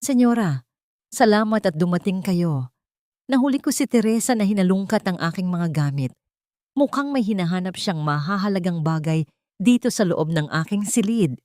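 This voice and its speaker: A woman's voice: medium pitch, expressive, normal speed, a little mellow, no echo, clean studio sound, close to an everyday voice. A woman voicing a cold, vengeful, threatening female villain in a dramatic audiobook. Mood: feigned distress. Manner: threatening, taunting. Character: cold, vengeful, menacing woman villain scheming against a maid. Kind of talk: dramatic audiobook character acting.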